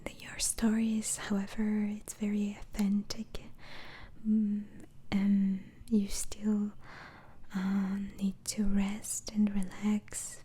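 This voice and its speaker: A woman whispering softly in a slow, hesitant, soothing ASMR roleplay as a gentle, reassuring doctor. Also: medium pitch, completely flat delivery. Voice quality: soft, breathy whisper.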